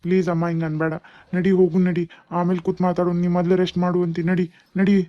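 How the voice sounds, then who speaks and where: The recording is slightly dull. A woman plays an earnest, concerned grown child in a family drama. The voice is fairly low and fast, with a North Karnataka accent. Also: little pitch movement, dark tone, natural voice. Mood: placating.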